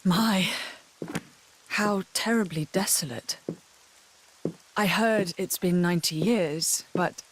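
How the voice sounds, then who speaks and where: A woman's voice: on the low side, slow, pauses often. A woman playing a polite, curious companion in a video game, with a genteel, inquisitive manner. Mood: wistful curiosity.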